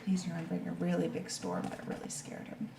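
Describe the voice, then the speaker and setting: A woman's voice: on the low side, completely flat delivery, fairly quick. Woman doing story time for kids, explains stuff simply, roomy echo, noise behind her. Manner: simple, child-directed explaining. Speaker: storyteller reading a picture book to children. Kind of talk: read-aloud with informal explanations. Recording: echoey room with clear background noise.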